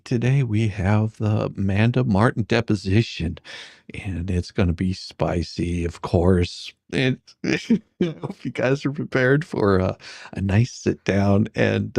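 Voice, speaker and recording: A man's voice: fairly low pitch, gravelly, darkish tone, no echo. A man hosting a livestream in casual chat, informal and often repeating himself. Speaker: stream host.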